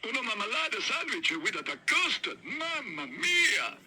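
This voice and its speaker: Man playing a pompous head waiter in a storybook, speaking in slow, stiffly formal tones. The voice is bright and swings widely in pitch. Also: very animated, slight background noise, exaggerated voice. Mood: incredulous. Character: pompous male head waiter of a grand restaurant.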